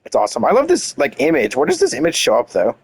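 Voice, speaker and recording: A man's voice: on the high side, huge pitch swings, fast, gravelly, husky. A man reading game lore aloud and commenting casually and enthusiastically, with some casual swearing. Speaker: gaming content creator.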